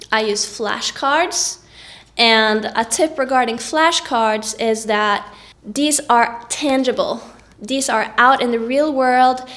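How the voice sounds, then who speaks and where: A woman's voice: on the high side, a bit bright. A woman with a cold, giving encouraging tips to camera in a casual vlog. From Norway. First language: Norwegian. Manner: encouraging, upbeat. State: has a cold. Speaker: vlogger.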